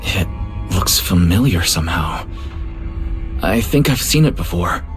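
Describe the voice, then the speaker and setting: An actor voicing a gentle, thoughtful young man in an anime-style video game, caring toward teammates. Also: sits low, expressive, measured pace, soft background music. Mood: puzzled.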